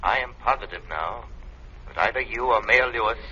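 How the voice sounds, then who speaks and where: An actor playing a mysterious invisible crime fighter in an old radio drama, speaking in a measured, ominous, formal way through a filtered voice. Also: male voice, medium pitch, pushed and bright, slightly rough. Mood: ominous, assured. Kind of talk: 1930s radio drama acting. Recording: muffled, harsh old radio recording.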